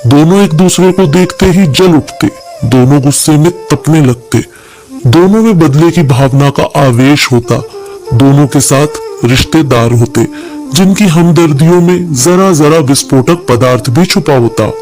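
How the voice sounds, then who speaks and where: A man reading a scripted story aloud as a storyteller, with measured, dramatic delivery. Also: on the high side, a little mellow, soft background music.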